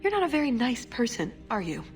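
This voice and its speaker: An actress playing an earnest, principled secret agent in a video game, speaking in a sincere, conversational way. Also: female voice, a little high, big pitch swings, quick pace, soft background music. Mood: wry, grateful. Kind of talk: video game dramatic acting.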